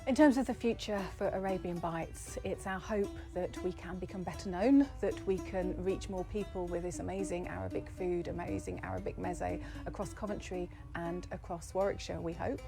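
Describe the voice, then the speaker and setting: Music plays clearly behind a British woman. Her voice sits a little low as she gives an explanatory interview in full sentences. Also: fairly even intonation, normal speed, hardly any pauses. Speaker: project founder.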